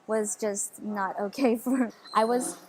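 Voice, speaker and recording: A woman's voice: fairly high pitch, fairly even intonation, slow pace, slight background noise, poor audio. A woman in her twenties from the Philippines, telling her story eagerly in a casual interview, with lots of "like" and "you know". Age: 20s. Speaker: interview guest.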